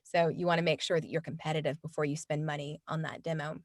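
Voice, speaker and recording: A woman's voice: deep voice, little pitch movement, fast talker, no room sound, clean studio sound. A woman who coaches voiceover artists, talking earnestly in a casual interview and often restarting her sentences. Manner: earnest, many restarts and fillers. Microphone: studio microphone.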